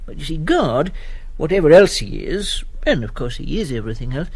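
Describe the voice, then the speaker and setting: Hearty, animated English schoolmaster. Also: male voice, a little high, brisk, breathy edge, exaggerated voice. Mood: hearty, awkward. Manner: chummy, euphemistic, hesitant. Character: hearty, awkward English schoolmaster giving confirmation class. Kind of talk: comic radio drama acting.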